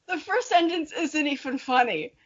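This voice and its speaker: A woman's voice: high voice, normal speed, muffled recording. A giggly, self-mocking woman co-hosting a podcast, chatting casually and reading a story aloud. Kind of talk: casual chat and amateur dramatic reading.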